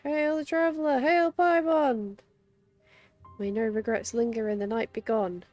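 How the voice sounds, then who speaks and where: A woman's voice: on the high side, level tone, measured pace, a little mellow, no room sound. A woman hosting a game livestream, chatting casually and commentating as she plays. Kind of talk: casual gameplay commentary, reading game text aloud. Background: game music behind the voice.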